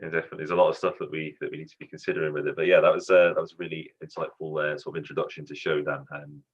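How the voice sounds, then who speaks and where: A man's voice: rumbling low, level tone, very fast, husky, dull audio. A man hosting an online student society event, friendly and hesitant, with many ums and restarts.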